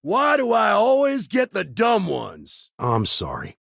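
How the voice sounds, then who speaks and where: An actor playing a gruff, streetwise frontier lawman in a video game, with an American accent, a gravelly, husky voice and a dry, plain-spoken manner. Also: high-pitched, huge pitch swings, slow pace, fairly bright tone, no echo, exaggerated voice. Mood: firm, commanding. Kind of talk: video game character dialogue.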